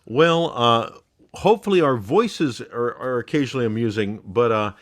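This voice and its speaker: A man's voice: mid-range voice, normal speed, no echo. A man co-hosting a podcast in casual comic banter, riffing with mock exasperation and repeating phrases for emphasis. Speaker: podcast co-host.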